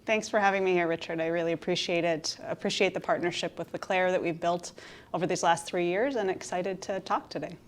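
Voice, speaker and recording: A middle-aged woman from Minnesota answers interview questions about her work. Her voice sits a little low, and she sounds upbeat and articulate. She often pauses to think with an um. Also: steady tone. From Minnesota, USA. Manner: upbeat, articulate, thinks aloud with frequent ums. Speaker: health insurance executive, guest. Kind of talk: interview conversation.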